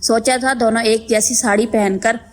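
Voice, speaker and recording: A woman playing a kind, meek young daughter-in-law who is eager to please, speaking gently and politely in an animated story. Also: a little high, normal speed, close to an everyday voice. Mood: hurt, pleading. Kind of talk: Hindi animated moral story dialogue.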